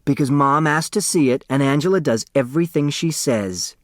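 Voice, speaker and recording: A man voicing a brash, sharp-tongued little sister in an audiobook, blunt and combative. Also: a little high, normal speed, dry recording, clean studio sound, voice pushed up, close to an everyday voice. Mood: cocky, needling.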